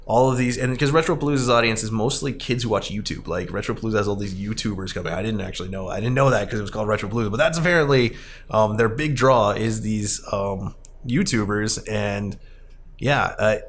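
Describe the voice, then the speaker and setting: A man's voice: fairly low pitch, fairly quick. A man hosting a casual podcast discussion, talking in an enthusiastic, rambling way. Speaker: podcast host and arcade owner. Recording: clear background noise.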